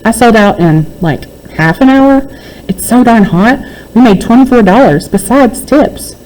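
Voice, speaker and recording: A woman voicing a brisk, casual young girl in a storybook, with a breezy, slangy way of talking. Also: fairly high pitch, lively intonation, a little mellow, a little hiss or hum, pitched above the performer's own voice, rough recording.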